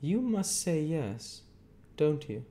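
A man's voice: fairly high pitch, very animated, takes it slowly, dark tone, voice pushed up. A man voicing a stern middle-aged male lawyer who questions in a clipped, emphatic way. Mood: lecturing. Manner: clipped, cross-examining, emphatic.

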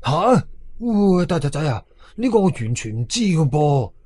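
Man playing nervous village uncle, Hong Kong Cantonese, husky, agitated, horror audio story. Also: a little high, very animated, normal speed, darkish tone, no room sound. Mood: anxious, pleading. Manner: agitated, trembling, pleading. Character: timid, jumpy village uncle, easily terrified.

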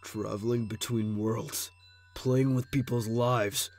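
A man's voice: mid-range voice, fairly even intonation, takes it slowly, darkish tone. A man voicing a weary, sardonic skeleton in a comic dub, casual, sarcastic and defiant. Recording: faint music behind.

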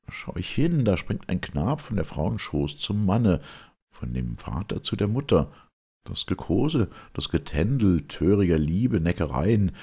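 An old, sinister hag declaims her tale in classical verse drama, played by a male reader. The voice is husky and slow, swinging widely in pitch with boastful, theatrical emphasis. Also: darkish tone, heavily acted. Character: ancient sinister hag storyteller Phorkyas, animated and boastful.

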